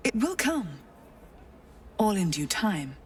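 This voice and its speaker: A woman playing a cold, haughty cult enforcer in a fantasy game, deliberate and menacing. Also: medium pitch, sing-song. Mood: contemptuous. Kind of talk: video game voice acting. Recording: slightly distant, faint background noise.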